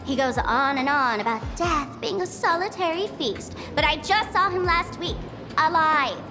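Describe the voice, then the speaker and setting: A mischievous young trickster girl of a video game, high and very lively. She taunts in an offhand, playful way, her voice trailing long reverb. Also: moderate pace. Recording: long reverb, music underneath.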